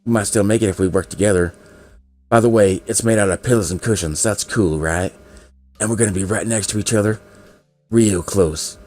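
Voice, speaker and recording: A man's voice: lowish voice, brisk, a little mellow, pitched above the performer's own voice, close to an everyday voice. A man voicing a paranoid young male student, a panicky conspiracy nut who rants and repeats himself in a visual novel reading. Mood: pleading. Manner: alarmed, ranting, repeats himself.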